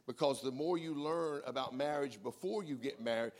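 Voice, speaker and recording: A man's voice: medium pitch, moderate pace. A man preaching as pastor, who questions a couple on stage and turns emphatic as he drives his points home. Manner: emphatic, swings from quiet to loud. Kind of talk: sermon with interview on stage.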